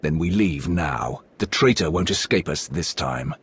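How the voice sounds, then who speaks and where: Game hero-tyrant: an imposing dark warrior commander, extremely low voice, formal, measured, noisy vehicle backdrop. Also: male voice, animated, exaggerated voice. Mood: stern, resolved. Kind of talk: video game cutscene acting.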